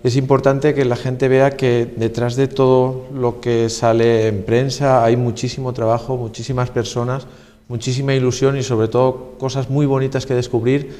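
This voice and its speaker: A man, a university guest on local TV, explaining research in an earnest, steady way. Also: medium pitch, moderate pace. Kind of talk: interview answers. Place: local TV studio. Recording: reverberant studio sound.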